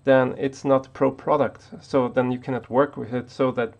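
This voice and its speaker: A man's voice: medium pitch, level tone, normal speed, creaky, lo-fi sound. A man from Austria, not an English speaker, talking unscripted to the camera as a tech YouTuber, hesitant with many restarts.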